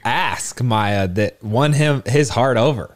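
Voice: medium pitch, slow pace. Eager podcast host greeting guests. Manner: eager interviewer, reacts with frequent exclamations. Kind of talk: casual podcast interview.

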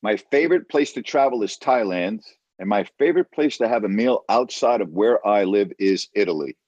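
A man's voice: lowish voice, expressive, normal speed, darkish tone, no echo, muffled recording. A man chatting casually as a guest, emphatic and repeating himself for stress. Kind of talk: casual chat.

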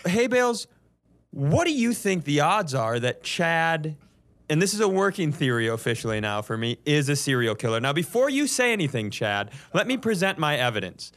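Male comedy co-host, lively swooping delivery, fairly high voice, teasing banter with mock-courtroom insistence. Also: normal speed. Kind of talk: bantering casual chat.

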